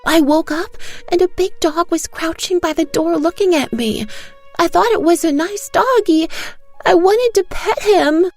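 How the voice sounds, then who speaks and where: A woman's voice: very high-pitched, a little slow, a little mellow, pitched above the performer's own voice. A woman voicing a frightened little girl in an audio drama, pleading and wailing to her mother over background music. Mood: wailing, panicked.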